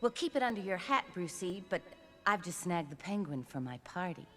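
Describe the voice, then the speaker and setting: A glamorous socialite with an airy, affected lilt. Her pitch lifts and falls brightly. A long reverb and faint music surround her. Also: big pitch swings, normal speed. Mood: breezy. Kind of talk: animated series voice acting.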